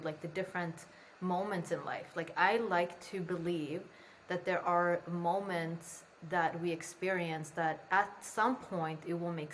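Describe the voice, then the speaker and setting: A young woman, raised in Sweden, chats as a podcast guest in a relaxed, candid way, swearing without a second thought. Her voice sits fairly low. There is a faint noise under her remote call. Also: rough recording. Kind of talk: casual interview conversation. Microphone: remote call from home.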